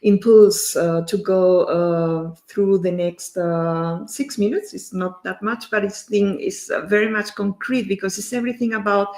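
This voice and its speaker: A woman hosting a live stream interview, speaking in halting, loosely built phrases. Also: medium pitch, vocal fry, a little mellow. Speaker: host of a livestream. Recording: echoey room sound.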